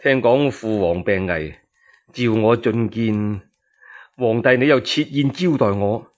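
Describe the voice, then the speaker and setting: A man's voice: fairly low pitch, a little slow, dull audio, pitched below the performer's own voice, close to an everyday voice. A man with a Cantonese accent playing a wary elder-brother prince, solemn and formal, in a historical radio tale. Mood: grave, suspicious.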